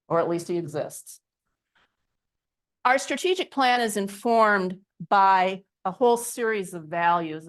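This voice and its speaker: A woman with a somewhat low voice delivers a library update to a township board. She talks in a chatty, easygoing way with little self-deprecating asides, holding a microphone in a meeting room. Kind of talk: presentation at a public meeting. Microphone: handheld microphone.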